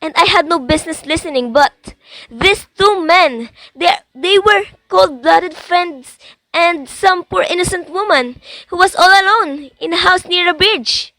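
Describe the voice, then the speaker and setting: A woman's voice: extremely high pitch, measured pace, bright, forceful tone, no echo, full character voice, poor audio. A young woman playing an agitated, insistent invalid wife in a theatrical student radio drama. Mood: horrified, urgent. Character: anxious, imperious invalid wife alone at night.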